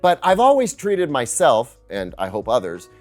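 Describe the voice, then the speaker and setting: American male reviewer, middle-aged, medium pitch, earnest personal storytelling with lively intonation, light music bed underneath. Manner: earnest, emotive, confiding delivery with dramatic pauses. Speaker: tech and speaker reviewer, video host. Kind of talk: personal scripted storytelling to camera.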